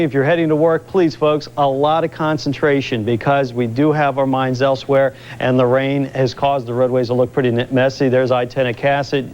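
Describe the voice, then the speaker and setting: A man's voice: mid-range voice, a little mellow. An American man giving a live, ad-libbed weather report on television, explaining conditions and advising viewers. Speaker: TV weather forecaster. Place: TV studio. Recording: dull lo-fi VHS broadcast recording.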